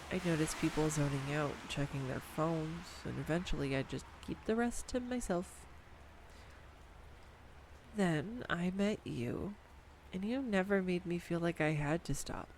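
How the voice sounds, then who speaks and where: A woman voicing a nerdy, affectionate girlfriend who rambles excitedly about animal facts in an intimate audio roleplay. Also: deep voice, slight background noise. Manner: enthusiastic, rambling, tangent-prone, giggly. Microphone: studio USB microphone.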